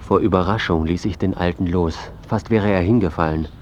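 A man's voice: deep voice, brisk, darkish tone, lo-fi sound, slight background noise, natural voice. An actor playing a self-important, put-upon biographer who narrates his own story in a radio drama. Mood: matter-of-fact. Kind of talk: first-person radio drama narration and acting.

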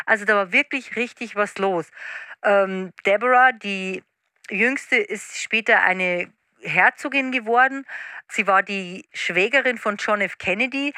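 Female expert commentator, bright forceful voice, enthusiastic unscripted storytelling, very dry sound. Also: medium pitch, dry recording. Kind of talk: conversational storytelling.

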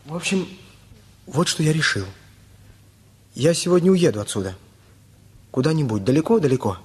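Melodramatic heartbroken teen boy, husky voice, earnest halting confession, radio drama. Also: a little high, sing-song, darkish tone. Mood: anguished, confessing. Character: lovesick, wounded, melodramatic teenage boy. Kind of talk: radio play acting.

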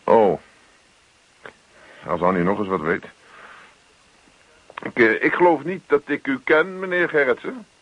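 An actor playing a puzzled, sardonic husband in a radio play, hesitant and given to dry muttering. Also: male voice, medium pitch, a little slow, pauses often, breathy edge, dry recording. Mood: puzzled, wary. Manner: hesitant, dryly sarcastic, muttering. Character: puzzled, sardonic husband, youngish bank man. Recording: dull, lo-fi old radio play recording.

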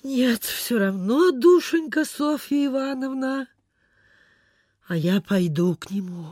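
Radio drama: pious, forgetful provincial gentlewoman, high voice, very unhurried, fussy and sighing. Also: animated, no echo, studio quality, exaggerated voice. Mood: anxious, caring. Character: kindly, forgetful, pious country landowner lady. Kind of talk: Soviet-style radio theatre acting.

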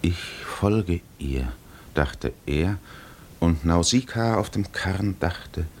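Solemn epic narrator, really slow n low, poetic, old fifties radio play. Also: male voice, expressive, a little hiss or hum, heavily acted. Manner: deliberate, solemn, poetic delivery. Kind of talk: 1950s radio drama literary narration.

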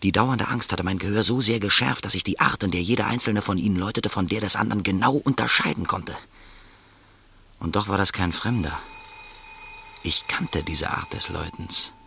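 A tense, fearful swindler. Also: male voice, low voice, normal speed, gravelly, pushed and bright, husky, exaggerated voice. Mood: brooding narration. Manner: curt and defensive in dialogue, brooding in narration. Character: hunted male American swindler, tense and fearful. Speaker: first-person narrator. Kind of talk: radio play acting and narration.

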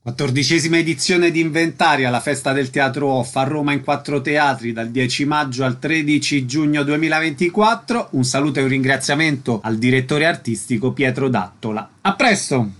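A man's voice: medium pitch, fairly quick, hardly any pauses, fairly bright tone. A man hosting a radio interview, giving short questions and announcements.

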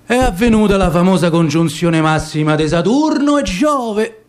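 A man performing a slow, lyrical, declamatory poetic monologue for radio theatre. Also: sits high, lively intonation.

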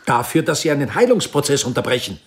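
An actor playing a proud, embattled eighteenth-century physician in a radio drama, stern and formal, with a husky voice. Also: male voice, mid-range voice, very animated, quick pace. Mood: stern warning.

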